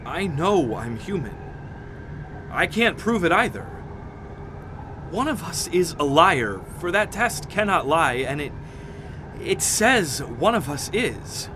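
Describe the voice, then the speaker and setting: An actor in a radio drama playing a distraught expedition doctor who is coming unhinged with fear, halting and repeating himself. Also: male voice, on the high side, very animated, noisy background. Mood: unravelling. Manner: halting, repeating words, laughing hysterically.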